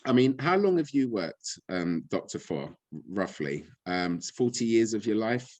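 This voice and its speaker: A man's voice: fairly low pitch, very animated, unhurried, a little mellow, dry recording. A man, speaking as a guest, ranting in a frustrated, blunt, impassioned way. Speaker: guest speaker on money and gold. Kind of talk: impassioned casual talk.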